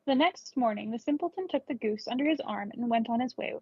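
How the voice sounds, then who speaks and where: A woman's voice: a little high, lively intonation, brisk, husky. A woman reading a scripted children's story aloud. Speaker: storybook reader. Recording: dull lo-fi recording.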